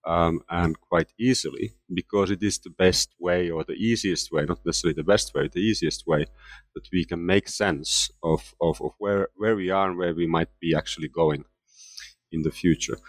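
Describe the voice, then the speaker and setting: A man's voice: deep voice, no room sound. A middle-aged man from Finland, a history professor, answering interview questions with many fillers and restarts. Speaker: guest author, history professor.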